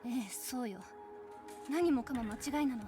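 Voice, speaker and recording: A woman voicing a rigid, fearful young female heretic in a visual novel, halting and insistent. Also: sits high, expressive. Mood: defensive, zealous. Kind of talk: Japanese visual novel voice acting. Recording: music behind the voice.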